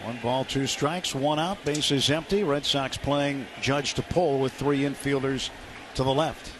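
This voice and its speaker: A man's voice: medium pitch, fairly even intonation, unhurried. An American man doing live baseball play-by-play on radio in clipped descriptive phrases. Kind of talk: live sports commentary. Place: outdoor ballpark broadcast booth. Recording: noisy live ballpark radio broadcast.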